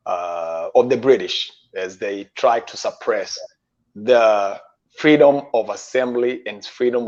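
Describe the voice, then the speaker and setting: A man in his fifties speaks at a middling pitch, slow and deliberate, with frequent pauses. His voice comes through a dull, lo-fi call line. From Kenya. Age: 50s. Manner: measured, halting, with frequent "uh" pauses. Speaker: guest chef. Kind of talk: storytelling in a stream interview. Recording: dull lo-fi stream audio.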